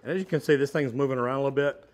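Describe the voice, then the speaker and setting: A man's voice: mid-range voice, racing, darkish tone. A middle-aged man, a plumber, giving a hands-on instructional demonstration in a metal-walled storage unit, talking practically through a lapel mic. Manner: plain-spoken, practical, addresses viewers as "guys".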